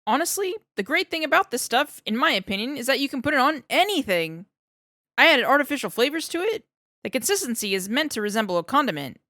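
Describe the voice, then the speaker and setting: A jittery male scientist who babbles and over-explains in a raised, bright, quick voice, as a woman reads him out. He pushes higher and louder as he rambles, eager to please, recorded close and dry. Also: very animated, no echo, exaggerated voice. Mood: eager babbling.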